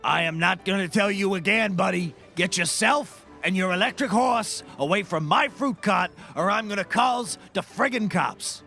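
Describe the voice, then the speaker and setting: Man acting as creaky, high-voiced cranky fruit vendor. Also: normal speed, few pauses, sharp tone, soft background music, heavily acted. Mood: annoyed scolding. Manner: gruff, scolding, streetwise delivery. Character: irritable, blunt male fruit stand vendor. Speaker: actor in a scripted comedy series. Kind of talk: scripted comedy acting.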